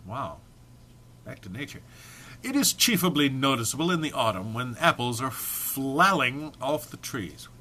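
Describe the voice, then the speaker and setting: A man's voice: medium pitch, big pitch swings, measured pace, sharp tone, a little hiss or hum. A man giving a dramatic comic reading of a humorous text, mock-serious in delivery with dry muttered asides. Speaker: reader.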